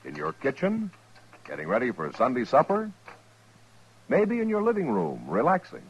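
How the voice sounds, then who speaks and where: An American man with a husky voice reading a 1950s radio commercial as announcer. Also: a little high, wildly swooping pitch, moderate pace, noisy background. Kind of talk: 1950s radio advertising read. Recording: dull old radio recording.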